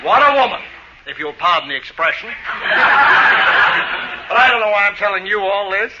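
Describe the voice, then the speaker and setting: High-pitched pompous henpecked lodge president. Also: male voice, animated, normal speed. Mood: grumbling, weary. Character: henpecked pompous middle-aged male lodge president, grumbling. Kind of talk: 1940s radio comedy acting. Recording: noisy, dull vintage radio recording.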